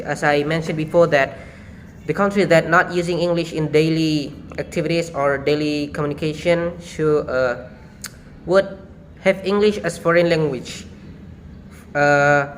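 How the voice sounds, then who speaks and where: Young indonesian guy presenting for class on his phone, lots of uh's, slightly high voice, a bit of hiss. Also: fairly bright tone. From Madura, Indonesia. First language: Madurese. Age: young adult. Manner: halting, searching for words with many fillers. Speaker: student presenter. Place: small indoor room against a plain wall. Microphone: phone at arm's length.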